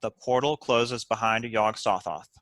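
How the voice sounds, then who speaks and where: Man, stage directions reader, crisp forceful tone, mid pitch, dry sound, scripted narration. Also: measured pace, no room sound.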